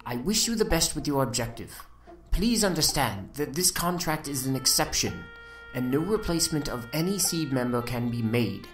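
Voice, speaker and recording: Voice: medium pitch, lively intonation, normal speed, natural voice. An amateur actor voicing a formal, courteous headmaster reading an official letter in a game dub. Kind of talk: fan-dub video game voice acting. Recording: echoey voice over soft music.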